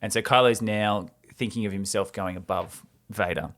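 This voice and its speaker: A man's voice: lowish voice, steady tone, normal speed, fairly bright tone. A man hosting a show, retelling and commenting on a story in a casual, informal, explanatory way. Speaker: host retelling a film script.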